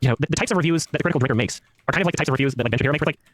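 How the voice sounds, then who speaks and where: A man's voice: on the high side, racing, a bit bright, no echo. A man giving conversational commentary, hedging as he argues his point.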